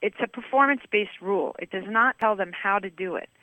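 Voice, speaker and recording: Bright-voiced American woman explaining in an interview. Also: medium pitch, brisk, no echo, poor audio. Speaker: executive director, interviewee.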